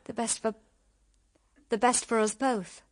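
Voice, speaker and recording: A woman's voice: fairly high pitch, fairly even intonation, a little slow. An actress playing an ardent, devoted young British woman in love, in an intense, earnest radio drama style. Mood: earnest, troubled. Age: young adult.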